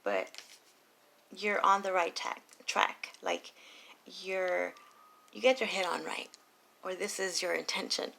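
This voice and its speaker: A woman reading tarot late at night, talking softly and low and slipping into whispers. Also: fairly even intonation, takes it slowly, creaky, long pauses, slight background noise. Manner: soft and low, drifting into whispers. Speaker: tarot reader.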